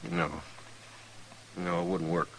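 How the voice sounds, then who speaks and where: An actor playing an impulsive, lovestruck outlaw trying to reform in an old radio western, earnest, halting and folksy. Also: male voice, sits low, monotone, fairly bright tone. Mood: hesitant, earnest. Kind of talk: old-time radio western drama acting. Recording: noisy vintage radio recording.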